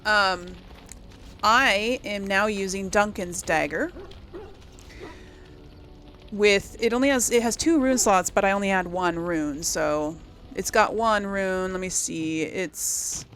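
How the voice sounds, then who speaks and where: A woman's voice: mid-range voice, fairly bright tone, a little hiss or hum. A woman giving casual let's play commentary as host, rambling as she thinks aloud and corrects herself. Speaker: gaming video host.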